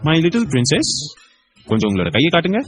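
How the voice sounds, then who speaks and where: A man's voice: medium pitch, sing-song, fast, soft background music. Man acting a reassuring, affectionate young husband. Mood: calm, affectionate. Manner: reassuring, soft-hearted, playful with child. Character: protective, affectionate young husband and doting daddy. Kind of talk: Tamil audio story acting.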